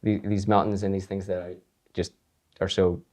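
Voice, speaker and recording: A laid-back man who grew up in Iceland talks through his creative process in a casual interview. His voice sits on the low side, and he rambles loosely with fillers and easy laughter. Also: normal speed. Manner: relaxed, rambling, laughs often, many fillers. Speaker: guitarist and composer guest.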